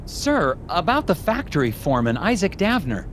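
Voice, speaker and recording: An earnest government agent from a video game, with a high, swooping voice. He is polite and eager to do right. The sound is echoey, with clear noise behind it. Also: huge pitch swings, moderate pace. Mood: polite, hesitant. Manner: earnest, deferential. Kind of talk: animated video game cutscene acting. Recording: noisy, echoey with long reverb.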